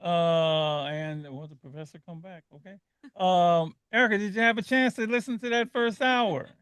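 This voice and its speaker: A man hosting a live radio talk show, halting and full of restarts. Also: high-pitched, fairly bright tone, clear, resonant tone, dry recording. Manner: halting, many restarts and fillers.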